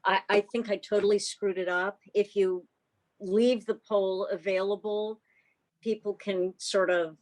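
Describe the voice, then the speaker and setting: A woman talks on a video call as an informal meeting host. Her delivery is slow and halting, with an unhurried, unscripted feel as she moves between club business and introductions. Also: medium pitch, steady tone, a little mellow, low-quality recording.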